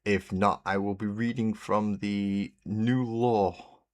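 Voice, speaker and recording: A man hosting a relaxed hobby livestream, chatting in a rambling way and greeting viewers while he paints. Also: on the low side, takes it slowly. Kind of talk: casual chat while painting. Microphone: desk mic on a webcam stream.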